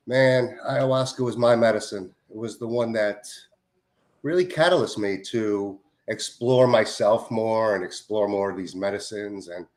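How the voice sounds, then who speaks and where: A man hosting a casual conversation show, introducing his guest in a loose, enthusiastic way. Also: lowish voice. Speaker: host.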